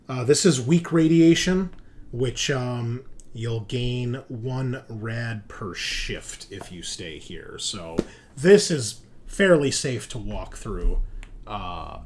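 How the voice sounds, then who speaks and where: A man's voice: mid-range voice, slow pace, a little hiss or hum. A man casually narrating and explaining a tabletop game as game master, in an informal way.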